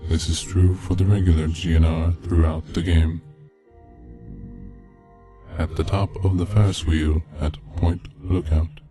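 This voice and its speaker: A man reading a scripted narration in a measured, deliberate manner. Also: deep voice, flat delivery, mellow. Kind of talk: scripted narration of a video game mystery. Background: background music.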